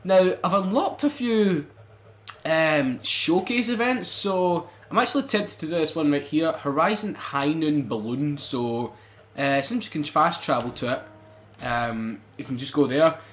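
A young man commentating a racing game let's play, excitable and swearing, bursting into loud exclamations. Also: on the high side, unhurried, a little hiss or hum. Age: young adult. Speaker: gaming channel host.

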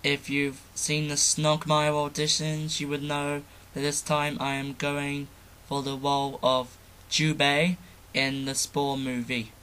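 A man's voice: on the high side, completely flat delivery, slow pace, sharp tone, slight background noise. A man introducing and signing off his amateur voice audition.

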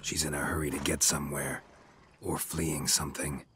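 An actor playing a stoic, brooding male monster hunter in a video game, terse and understated, with a husky, raspy voice. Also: level tone, normal speed, background noise. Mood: brooding, worried. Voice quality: very low, husky rasp. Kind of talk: video game dialogue acting.

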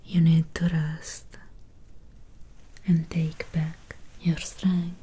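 A young woman acts out a gentle Victorian vampire doctor in an ASMR roleplay. She whispers very breathily and extremely slowly, with long soft pauses. The tone is soothing and hypnotic, dark and relaxed. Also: sits low, husky, exaggerated voice. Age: young adult. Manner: slow, soothing, lingering pauses. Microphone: close ASMR microphone.

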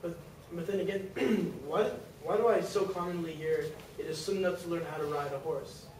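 A man's voice: fairly high pitch, normal speed, a little mellow, a little hiss or hum. A man in the audience asking the lecturer a hesitant question. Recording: echoey room, far from the camera.

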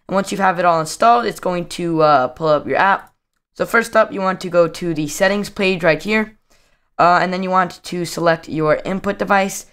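A young man narrating a casual software tutorial as the host of a video. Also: fairly high pitch, clean tone.

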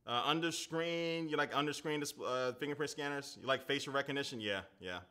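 Chatty man with a bright voice. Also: mid-range voice, brisk. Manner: chatty, loose, lots of "like" and "man", reads viewer comments aloud. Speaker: tech reviewer. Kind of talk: casual live-stream unboxing chat. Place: indoor home studio at a desk.

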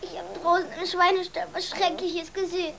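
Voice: very high-pitched, level tone, brisk, creaky, a crowd in the background. A performer playing an earnest, insistent little farm boy in a children's radio play. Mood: stubborn, earnest. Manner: pleading, insistent.

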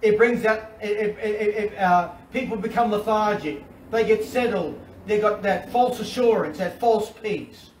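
A middle-aged man with an Australian accent, preaching an animated sermon from a covered outdoor deck, with repetitions and restarts. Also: high voice, unhurried, few pauses, a little hiss or hum. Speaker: pastor. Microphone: far camera. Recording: roomy and distant with faint ambience.

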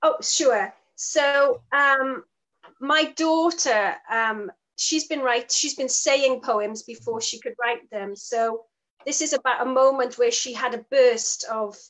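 Welsh woman poet on a video call, high voice, slow unhurried talk, gentle reading. Home room. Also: rough recording. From Wales. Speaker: guest poet. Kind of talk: poetry reading and chat.

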